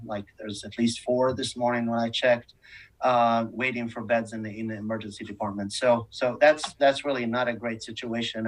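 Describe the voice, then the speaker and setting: A man reporting as a hospital chief of staff, informal and halting, picked up by a distant microphone. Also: medium pitch.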